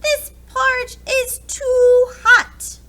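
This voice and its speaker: Woman putting on a squeaky, excitable little baby bear. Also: very slow, heavily acted. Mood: pained whining. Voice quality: tiny, squeaky. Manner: exclaiming, childlike.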